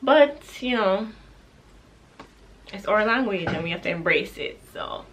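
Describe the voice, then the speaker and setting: A woman's voice: medium pitch, expressive, unhurried, a little hiss or hum. A woman from Jamaica with a Jamaican accent, candidly chatting through a question-and-answer vlog, with easy laughs. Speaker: vlogger.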